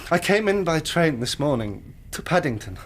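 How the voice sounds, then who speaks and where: A man's voice: fairly high pitch, big pitch swings, moderate pace. An injured English engineer in a period radio drama. He is polite and formal, yet nervy and easily worked up. A faint noise with small clicks sits under the voice. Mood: strained politeness. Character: injured young male hydraulic engineer, overwrought but polite.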